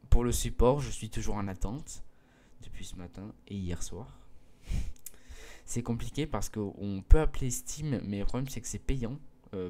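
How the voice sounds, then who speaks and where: Hesitant teenage boy with a mellow voice. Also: lowish voice, a little hiss or hum. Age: mid-teens. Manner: hesitant, many fillers and restarts; earnest, pleading with viewers. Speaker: gaming video creator. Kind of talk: casual explanation to viewers.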